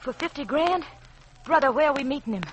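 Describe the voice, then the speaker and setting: An actress playing a brassy, scheming gangster's moll, slangy and wheedling, in an old-time radio detective drama. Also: female voice, sits high, expressive, a little fast, loud music behind the voice. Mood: brassy, eager.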